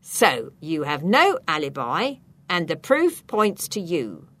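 A woman's voice: low voice, huge pitch swings, slow pace, a bit bright, dry recording, pitched below the performer's own voice, heavily acted. A woman voicing a stern, thin policeman in a storybook, speaking with formal, firm authority as he interrogates. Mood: stern, accusing.

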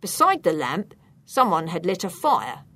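A woman's voice: on the low side, sing-song, unhurried, a bit bright, exaggerated voice. A woman voicing a stern, thin policeman in a storybook, speaking with formal, firm authority as he interrogates. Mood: formal, probing.

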